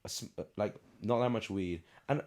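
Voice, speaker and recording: A young man in casual podcast banter, teasing and quick with a joke. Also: fairly low pitch, darkish tone. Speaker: podcast co-host. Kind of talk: casual chat.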